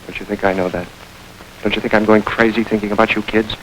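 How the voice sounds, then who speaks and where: A guy doing a fast-talking cynical gangster, lowish voice, old radio hiss. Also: level tone, lightly acted, rough recording. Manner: clipped, sardonic, quick delivery. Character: cool, world-weary male crook, middle-aged. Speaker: Gene, a criminal facing the chair. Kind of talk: 1940s radio acting. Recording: hissy old radio recording.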